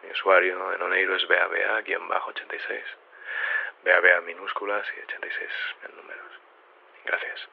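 A man's voice: low-pitched, little pitch movement, creaky, husky, full character voice. A man playing a groggy, just-woken scientist in a fiction podcast, rambling hesitantly through a voice message about waking in a borrowed body. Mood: unsettled, earnest. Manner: hesitant, halting, muttering. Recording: dull lo-fi voice message.

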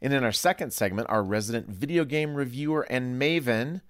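A man's voice: medium pitch, a little fast, fairly bright tone. A man hosting a studio discussion podcast in a playful, effusive and emphatic way. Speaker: podcast host.

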